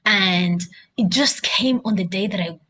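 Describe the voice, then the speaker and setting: A woman's voice: medium pitch, unhurried, sharp tone, a little hiss or hum. A young woman with a Kenyan accent talking casually to her laptop at home, thinking aloud in an unscripted, self-encouraging video diary. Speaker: video diarist. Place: indoors at home, by a couch.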